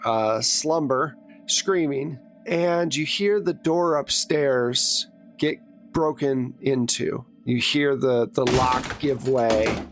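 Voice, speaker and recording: A man's voice: medium pitch, takes it slowly, soft background music. A man hosting and narrating a tabletop roleplaying game as game master, conversational and self-deprecating. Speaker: game master, podcast host.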